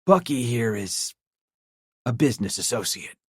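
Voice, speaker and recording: A man's voice: medium pitch, sing-song, unhurried, breathy edge, no echo, studio quality, voice pushed up. A man doing a smug, mocking older leader. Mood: smooth, firm. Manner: amused, dismissive, laughing.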